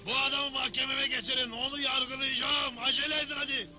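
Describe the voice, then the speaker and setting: Self-important old west cartoon judge, super high voice, bossy and theatrical, music blaring behind. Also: male voice, level tone, fairly quick. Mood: bossy, official. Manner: pompous, declamatory. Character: pompous, theatrical crooked frontier judge who craves authority. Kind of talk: dubbed Western cartoon acting. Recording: loud background music.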